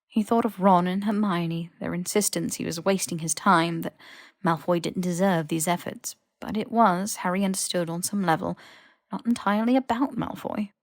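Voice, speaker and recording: A woman's voice: lowish voice, steady tone, normal speed, no room sound, clean studio sound. A woman reading scripted fiction as an audiobook narrator.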